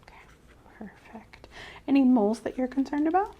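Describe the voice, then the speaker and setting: A woman doing a soft-spoken ASMR medical role-play as a clinician, gently reassuring and giving step-by-step instructions. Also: sits high, lively intonation, moderate pace, phone-quality sound, slight background noise. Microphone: clip-on mic.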